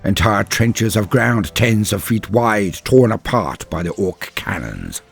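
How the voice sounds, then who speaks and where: A solemn, embittered superhuman warrior acted by a male narrator recounts battle in theatrical first person. The voice is low and husky, swinging widely between hushed gravity and proud defiance. Pauses fall heavily, as in a dramatic audio story. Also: very animated, faint music behind, heavily acted. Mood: haunted, grim. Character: grave, embittered male superhuman space-marine veteran recounting a massacre.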